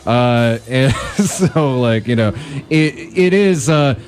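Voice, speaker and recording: A man's voice: mid-range voice, animated, slow pace, darkish tone. A man co-hosting a political radio talk, mostly backing up his partner and hedging when he speaks at length. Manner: frequent backchannel agreement, hesitant when he takes the floor. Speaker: radio show co-host.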